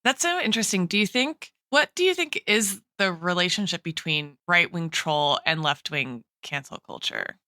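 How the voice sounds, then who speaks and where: A woman's voice: lowish voice, animated, moderate pace, hardly any pauses, sharp tone, no room sound, clean studio sound. A woman co-hosting a chatty podcast, asking questions in an articulate, playfully formal way. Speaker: podcast co-host interviewing her co-host. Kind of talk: chatty podcast discussion, mock-formal interview.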